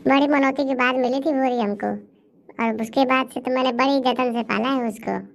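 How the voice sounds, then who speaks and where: A woman's voice: high voice, fairly even intonation, gravelly. A woman voicing a doting, dramatic village housewife in a comedy cartoon, with a Bhojpuri accent and a plaintive, lamenting manner. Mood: earnest, fond. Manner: melodramatic, wailing, drawn-out lamenting. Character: dramatic, doting village housewife grieving her lost buffalo.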